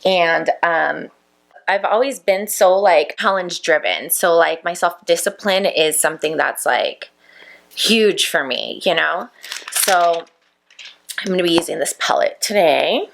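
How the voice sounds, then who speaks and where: Woman, 30s, vlogger, gravelly low tone, chatty and reflective. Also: measured pace, fairly bright tone. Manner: chatty, reflective, full of "like" fillers. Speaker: vlogger and makeup business owner. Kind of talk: casual chat to camera while doing makeup.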